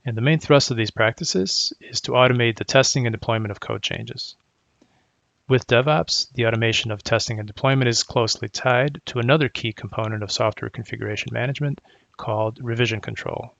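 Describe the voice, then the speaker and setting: Gravelly middle-aged man presenting a talk. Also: mid-range voice, fairly bright tone, no echo, dull audio. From Croatia. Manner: measured, explanatory, methodical. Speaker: technical solutions architect presenting. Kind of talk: prepared conference presentation.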